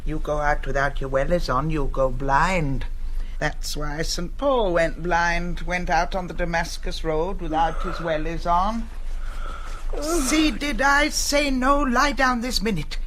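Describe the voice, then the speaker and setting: An actress playing a scolding, bossy, old-fashioned nanny with an English accent in a radio drama. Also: female voice, on the low side, a little slow, fairly bright tone, slight background noise. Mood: bossy nagging. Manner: nagging, admonishing.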